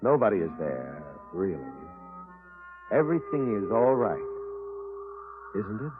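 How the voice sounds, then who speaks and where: Low, eerie radio storyteller. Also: male voice, sing-song, measured pace, music in the background. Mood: eerie, reassuring. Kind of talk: 1950s radio horror narration. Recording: narrow-band 1950s radio recording.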